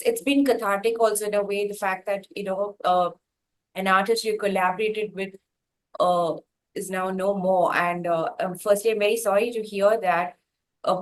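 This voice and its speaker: A woman host, asking interview questions in long, deliberate, halting phrases. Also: mid-range voice, low-quality recording.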